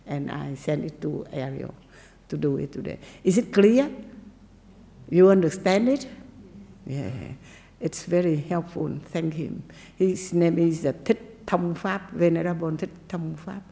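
A woman Buddhist nun, not a native English speaker, giving a gentle dharma talk with simple phrasing and pauses. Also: sits low, creaky, long reverb tail. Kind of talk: dharma talk and meditation teaching.

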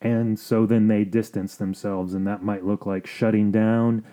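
A man hosting an advice podcast, explaining things in a measured, sometimes halting way. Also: on the low side, flat delivery, mellow. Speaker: podcast host.

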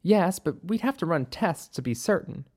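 A narrator voicing a composed, observant woman doctor with measured, precise speech and a wryly playful streak in an audiobook story. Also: on the low side, lively intonation, darkish tone, slightly rough, voice pushed up. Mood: clinical, assured.

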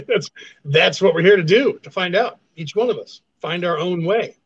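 A man with a slightly high voice chats on a podcast and agrees readily with his partner. His sound is dull and lo-fi, with no room echo. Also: lively intonation, moderate pace, breathy edge. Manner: agreeable, frequent "right". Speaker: podcast co-host. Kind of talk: casual chat. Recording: dull, dry lo-fi audio.

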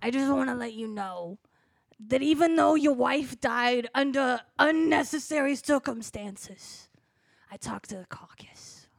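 A woman acts a brash, bossy drunk with a high voice full of creak. She rambles loudly in slangy talk, as in an improvised comedy radio play. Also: a little slow, full character voice. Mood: earnest.

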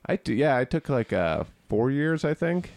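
A man's voice: mid-range voice, sing-song, normal speed, vocal fry, a little mellow, no room sound. A young man from Cape Cod co-hosting a podcast in casual chat, rambling with many fillers and restarts. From Cape Cod, Massachusetts. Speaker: podcast co-host.

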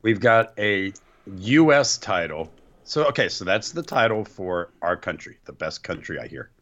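A man's voice: on the low side, sing-song, normal speed, fairly bright tone, muffled recording. A man co-hosting a casual wrestling podcast, joking and sarcastic, his voice slightly worn. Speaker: podcast co-host.

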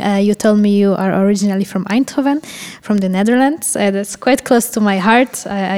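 A woman's voice: medium pitch, a little mellow, clear, resonant tone, big-room sound. A woman hosting a podcast, asking curious, hesitant questions in an interview. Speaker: podcast host.

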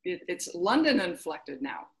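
Female podcast host, lowish voice, chatty and eager, quick laugh. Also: big pitch swings, gravelly. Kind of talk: casual interview chat.

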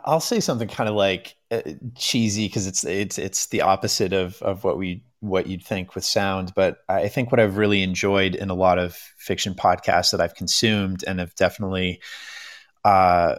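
A man's voice: deep voice, fairly bright tone, no echo, studio quality. A man talking casually on a panel, hesitantly repeating words as he thinks. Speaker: panellist, voice actor.

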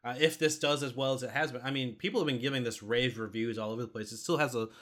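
A man with a bright, forward voice at a middling pitch, talking as a podcast co-host in a casual review. He explains things step by step and often pauses mid-thought as he goes. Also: fairly even intonation.

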